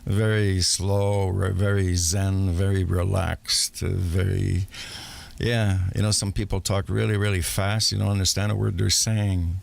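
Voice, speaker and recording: A male radio host with a low, gravelly voice interviews a guest. He listens closely and fills the pauses with soft murmurs of agreement. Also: flat delivery. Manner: attentive, frequent murmured agreement.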